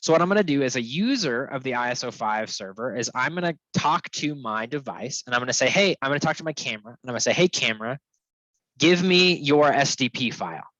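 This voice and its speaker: A man's voice: medium pitch, animated, fast, pushed and bright, dry recording. A man presenting technical material in an informal, conversational webinar style, often checking in as he explains. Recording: dull, lo-fi, dry close sound.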